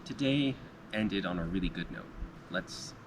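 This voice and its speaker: A slightly hoarse man giving a thoughtful, upbeat end-of-day recap at an outdoor backpacking campground. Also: deep voice, lively intonation, unhurried. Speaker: backpacking vlogger. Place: outdoor backcountry campground by a river. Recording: outdoor recording with clear background noise.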